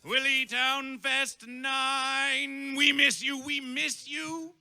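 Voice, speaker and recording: A very high-pitched man emcees a live festival stage like a grand town crier, in old-fashioned dramatic phrases. His voice is piercing and gravelly, and he draws out and shouts his big words. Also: level tone, extremely slow. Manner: stretches and shouts key words. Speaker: festival master of ceremonies. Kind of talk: theatrical stage announcing, chanted band introductions. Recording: live stage sound, faint music behind.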